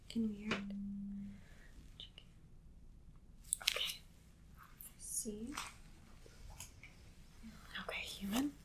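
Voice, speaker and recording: A woman playing a calm alien examiner in an ASMR roleplay, whispering softly and slowly, with clinical reassurance. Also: mid-range voice, heavy background noise, lightly acted. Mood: calm, probing. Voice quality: breathy whisper. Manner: soft, unhurried, repetitive, clinically reassuring. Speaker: ASMR performer.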